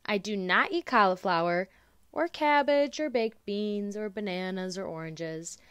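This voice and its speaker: A woman's voice: mid-range voice, unhurried, fairly bright tone, smooth, no echo, close to an everyday voice. A woman voicing a stubborn, fussy little girl in a picture-book story, speaking in emphatic declarations.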